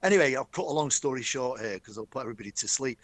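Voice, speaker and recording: Middle-aged Mancunian man, chatty storyteller, mid-pitched, dry close recording. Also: animated, rapid-fire, no room sound. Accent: Manchester. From Manchester, England. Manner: chatty, enthusiastic, addresses host by name. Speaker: guest, stadium announcer. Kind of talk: storytelling interview.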